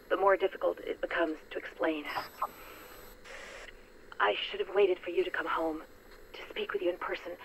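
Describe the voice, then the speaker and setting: An actress playing a guilt-ridden, distraught woman in a video game, making a halting, emotional confession on a recorded message. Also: on the low side, a little hiss or hum, poor audio. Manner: halting, weighty, emotional delivery. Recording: recorded message under music.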